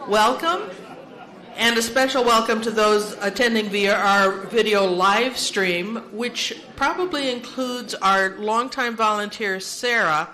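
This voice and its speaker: A woman's voice: medium pitch, slow, sharp tone, a faint crowd, rough recording. An older woman who chairs and emcees a seniors' meeting in a large room. She speaks into a handheld microphone, giving orderly announcements with light humor and leading a prayer. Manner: orderly and practical, with light self-deprecating humor.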